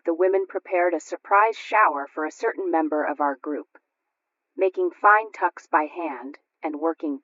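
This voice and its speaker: A woman's voice: sits low, no echo. A woman hosting a scripted old-time homemaking radio chat, telling stories in a precise way. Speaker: radio homemaking show host. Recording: dull lo-fi radio recording.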